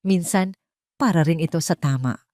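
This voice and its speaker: A woman with a Filipino accent voicing a determined, protective friend in an audio drama, calm but emphatic. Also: on the low side, normal speed, dry recording, studio quality, close to an everyday voice. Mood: fierce determination.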